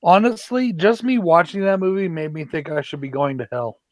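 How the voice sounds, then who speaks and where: A man from Denver hosting a casual group chat with friends, talkative and quick to cut in with questions. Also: fairly high pitch, dry recording. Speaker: host.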